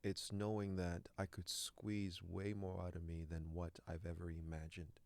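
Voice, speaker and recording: A man's voice: sits low, little pitch movement, moderate pace, vocal fry, darkish tone, dry recording. A man from Canada whose first language is French, a guest actor speaking earnestly in an interview, often repeating words as he searches for them. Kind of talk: reflective interview talk.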